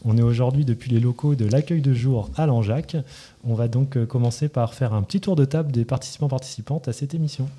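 Dark-voiced man hosting community radio. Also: medium pitch, fast talker, husky. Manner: relaxed, with frequent hesitations. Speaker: radio show host and care worker. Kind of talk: presenting a community radio show.

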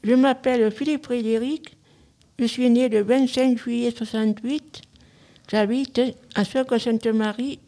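A woman's voice: on the high side, fairly even intonation, measured pace, mellow. A woman in her fifties reading simple prepared statements aloud as a radio show participant.

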